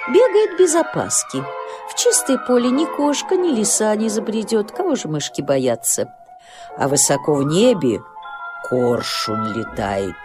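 Expressive, highly animated woman storyteller over music. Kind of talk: scripted children's nature tale narration.

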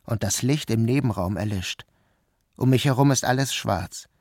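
A man's voice: medium pitch, normal speed, darkish tone, no echo, studio quality. A man narrating a radio play in the first person, with an inward, dreamy delivery. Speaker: narrator and lead actor.

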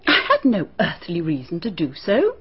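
An actress with a British accent playing a prim, nervous schoolteacher being questioned in a radio drama, answering in a clipped, guarded way. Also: female voice, lowish voice, wildly swooping pitch, moderate pace, breathy edge, exaggerated voice. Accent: British English. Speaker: teacher questioned by a detective. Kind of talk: BBC radio drama acting. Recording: dull, muffled archive radio broadcast.

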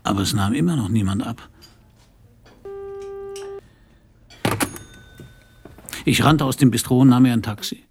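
An actor playing a blunt, world-weary detective with an anarchist past, narrating and acting gruffly in a German radio crime play. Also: male voice, mid-range voice, quick pace, background noise, lightly acted. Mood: weary narration.

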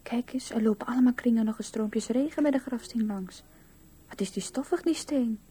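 Soft, breathy little girl. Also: fairly high pitch, moderate pace. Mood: wondering. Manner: naive, childlike, often breathy and hushed. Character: small dreamy chattering girl, the widow's childhood self. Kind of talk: radio drama acting.

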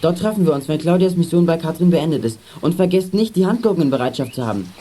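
A woman's voice: low voice, lively intonation, quick pace, dark tone, slight background noise. An actress playing a confident, decisive teenage boy who leads a gang of young detectives in a radio play. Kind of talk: 1980s German children's radio play acting.

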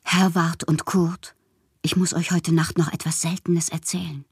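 An actress in a radio drama playing a lonely, whimsical bohemian woman writer, intimate and wistful, with a husky, breathy voice that often drops to a whisper. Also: lowish voice, level tone, measured pace, no room sound, clean studio sound, heavily acted. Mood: hushed awe. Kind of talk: literary radio monologue.

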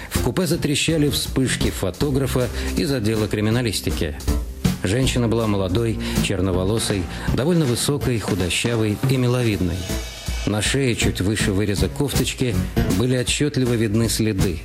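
Lower-voiced male crime story narrator with music. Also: normal speed. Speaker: narrator of an audio drama. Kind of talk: scripted detective novel narration. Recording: clear music under the voice. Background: background music.

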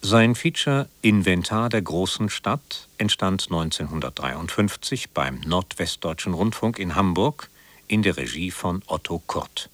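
A man reading a scripted biographical introduction as a radio presenter, in a measured, formal manner. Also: low voice, lively intonation, slight background noise.

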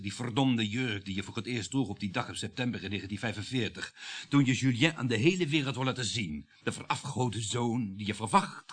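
An actor playing a bitter, sardonic French bourgeois husband in a radio play, declaiming with the odd halting hesitation. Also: male voice, on the low side, fairly bright tone.

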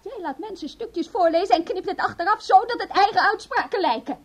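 A woman's voice: very high voice, expressive, a little fast. An actress playing a bitter, frustrated wife who mockingly taunts her husband, in a theatrical Dutch radio play style. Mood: scornful. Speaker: radio drama actor.

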